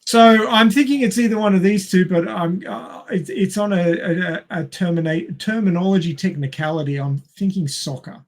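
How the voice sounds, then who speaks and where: A man's voice: high voice, normal speed, hardly any pauses. A man with an Australian accent hosting a casual quiz among friends, reading out questions and chatting. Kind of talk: casual group quiz chat.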